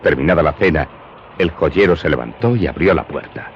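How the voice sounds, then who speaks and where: An actor narrating a dark tale as an eyewitness in a scripted radio drama. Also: male voice, very deep voice, lively intonation, fairly quick. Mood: grave narration. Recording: dull, clearly noisy audio.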